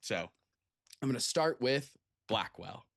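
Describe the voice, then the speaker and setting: A man's voice: medium pitch, animated, slow pace, creaky, fairly bright tone, dry recording, studio quality. A man hosting a livestream in a casual, enthusiastic and effusive way, introducing people over a video call. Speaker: host.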